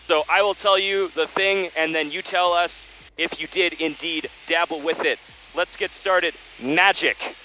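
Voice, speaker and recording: A man's voice: a little high, fairly even intonation, measured pace, pushed and bright, slight background noise, low-quality recording. A man hosting a casual, playful interview, speaking on a headset in a small plane. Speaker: interview host. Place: small plane cabin in flight.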